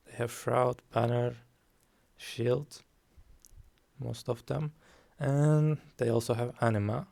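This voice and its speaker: Male streamer, calm and unhurried, dark gravelly tone, desk mic at home. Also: mid-range voice. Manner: slow, calm, soft-spoken with frequent ums. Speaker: guest streamer. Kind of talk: casual game stream commentary.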